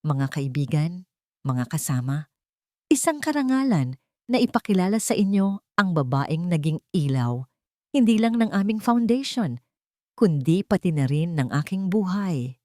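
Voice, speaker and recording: A woman storyteller voicing a devoted, dignified billionaire husband who speaks in an earnest, measured, formal way. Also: on the low side, big pitch swings, darkish tone, no room sound, clean studio sound. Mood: proud, loving. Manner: earnest, measured speech-making.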